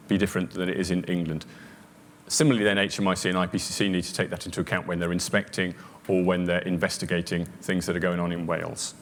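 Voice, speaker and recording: Very low male voice, deliberate conference speaker, echoing hall, faint hum. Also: fairly bright tone. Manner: deliberate, hesitant with fillers. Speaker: police chief superintendent. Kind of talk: conference presentation.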